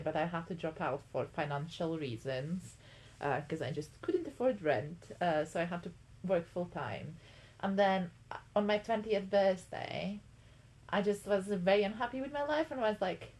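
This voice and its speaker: A woman's voice: on the low side, a little mellow, breathy edge, poor audio. Chatty Latvian-born woman in her twenties. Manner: chatty, lots of ums and likes. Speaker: podcast co-host. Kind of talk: casual chat.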